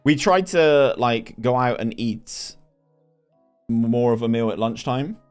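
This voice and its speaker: A man's voice: medium pitch, lively intonation, slow, clear, resonant tone. Young guy streaming, chatty, reads chat, restarts a lot, bit of music behind. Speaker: gaming streamer. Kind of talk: casual live stream chat.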